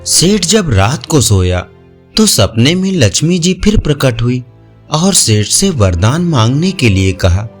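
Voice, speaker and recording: A man's voice: mid-range voice, sing-song, unhurried. A man narrating moral folk tales as a scripted storyteller. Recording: music under the voice. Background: faint music.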